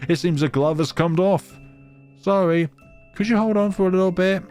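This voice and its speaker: A man's voice: sits high, moderate pace, darkish tone, clean tone, soft background music, pitched above the performer's own voice, close to an everyday voice. A man voicing a lonely young female snow spirit in a visual novel, wistful and hesitant, with a melancholy manner. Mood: flustered. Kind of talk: visual novel character reading.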